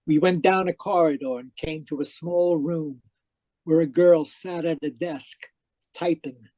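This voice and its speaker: A tormented dead man, played by an actor, tells his tale in old radio mystery style. His voice sits fairly high and very soft-toned, halting and dramatic as he pleads with the living. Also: fairly even intonation, dry recording, close to an everyday voice. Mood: haunted, weary. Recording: live video call performance.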